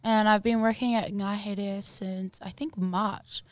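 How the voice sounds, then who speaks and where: A woman's voice: medium pitch, fairly even intonation, a little slow, dry recording. A woman in her twenties with a New Zealand accent, a bubbly podcast guest who laughs often. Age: 20s. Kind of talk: casual chat.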